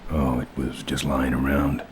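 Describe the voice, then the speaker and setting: An actor playing a tough, wry biker in a video game, speaking in a dry, deadpan and faintly menacing way. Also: male voice, very deep voice, moderate pace, slight background noise. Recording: loud music behind, from game livestream.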